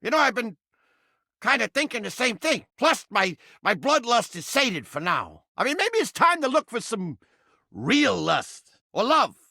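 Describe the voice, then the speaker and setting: A touchy little mouse trap brought to life, with a piercing, bright voice recorded very dry. He is a brash, streetwise video game cartoon character who gripes in crude slang and flips between insult and bashfulness. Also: extremely high pitch, sing-song, a little slow, creaky, no echo, full character voice. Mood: bashful, eager. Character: foul-mouthed, touchy, lovelorn male mouse trap come to life.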